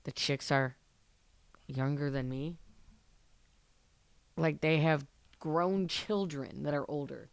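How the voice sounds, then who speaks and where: A man's voice: fairly high pitch, takes it slowly, vocal fry, pauses often, smooth, no echo. A man chatting casually as a podcast panelist, offhand and conversational. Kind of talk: casual chat.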